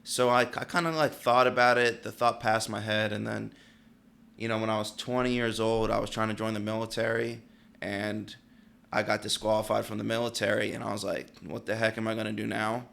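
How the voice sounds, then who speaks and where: Laid-back gravelly young man. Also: on the low side, level tone, fairly quick, fairly bright tone. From Pennsylvania, United States. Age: late 20s. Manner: laid-back storyteller, leans on "like" and "you know". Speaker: barber and podcast guest. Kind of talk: casual interview chat.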